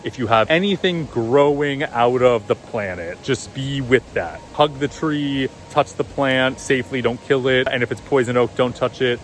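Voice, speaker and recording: A man with a deep voice giving relaxed, encouraging meditation advice in a casual vlog. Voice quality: deep, low voice. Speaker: vlogger and meditation coach. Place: outdoors at a loud waterfall. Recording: outdoor recording with loud waterfall noise. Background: rushing waterfall.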